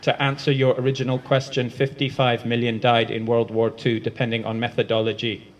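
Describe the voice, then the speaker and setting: A man voicing a deadpan woman who speaks in a flat monotone. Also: medium pitch, a little fast, a little hiss or hum, close to an everyday voice. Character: deadpan woman, dry and literal.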